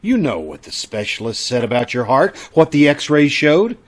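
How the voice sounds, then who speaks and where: An actor playing a concerned, disapproving doctor in an old-time radio drama, speaking earnestly and haltingly. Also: male voice, medium pitch, very animated. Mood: pleading, firm.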